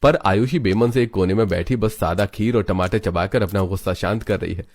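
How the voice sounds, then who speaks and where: A man narrating a cartoon story in a steady, scripted way. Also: lowish voice, a little fast.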